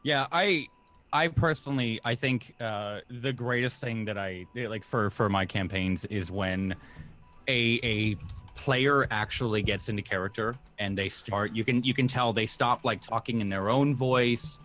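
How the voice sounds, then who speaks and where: A young man who is a guest voice actor and game master, chatting casually in an animated, self-deprecating way. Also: on the low side, measured pace. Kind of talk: casual interview chat.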